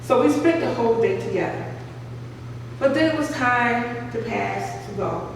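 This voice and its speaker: Lady author reading her book out loud, a bit high voice, echoey room, mic far off. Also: lively intonation, a little slow, noisy background. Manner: earnest, heartfelt storytelling. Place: cafe.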